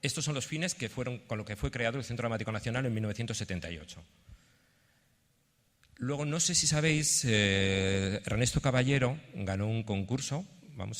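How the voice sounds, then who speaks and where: A man from giving a halting conference presentation, partly reading it out. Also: on the low side. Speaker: theatre representative presenting a project. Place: indoor conference venue. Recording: reverberant conference hall.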